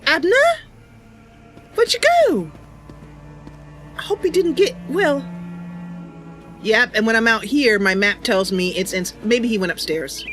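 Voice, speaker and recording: A woman hosts casual gameplay commentary in a playful, giggly manner. Her voice is highly animated, with game music behind her. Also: unhurried, pauses often. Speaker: gaming channel host.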